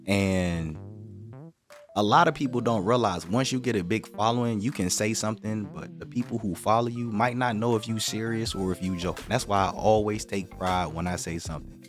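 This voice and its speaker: A man's voice: fairly low pitch, moderate pace, soft background music. A man hosting a livestream, chatting casually with viewers and explaining his points in a direct, slangy way. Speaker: livestream host.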